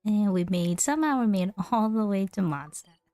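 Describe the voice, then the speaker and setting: A woman's voice: mid-range voice, lively intonation, normal speed, warm tone. A woman streaming a video game, casually commenting and reading dialogue aloud on a close mic. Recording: dry, faint game music behind.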